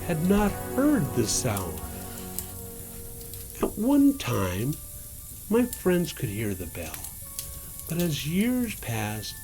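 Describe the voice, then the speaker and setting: A man reading a children's story aloud in a theatrical, drawn-out way, as a Santa performer. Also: high voice, low-quality recording. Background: music.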